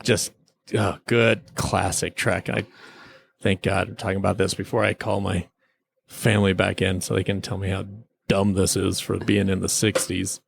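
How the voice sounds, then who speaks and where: A man's voice: low-pitched, level tone, moderate pace, creaky. A man chatting casually and enthusiastically as a podcast host, rambling like a devoted fan through an episode review.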